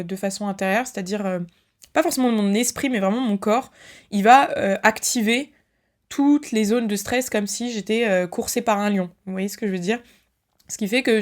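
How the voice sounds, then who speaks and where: A tired young woman hosting a solo podcast, talking casually and directly to her listeners with many fillers. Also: mid-range voice. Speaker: podcast host and fitness creator.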